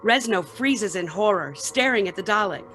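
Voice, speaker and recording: A woman's voice: mid-range voice, expressive, moderate pace, fairly bright tone, a little hiss or hum, low-quality recording. Woman narrator reading stage directions. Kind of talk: scripted narration.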